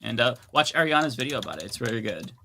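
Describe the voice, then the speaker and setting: A man's voice: mid-range voice, very animated, fast, creaky, pushed and bright, a little hiss or hum. A man co-hosting a casual livestream, chatty, blunt and joking. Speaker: co-host.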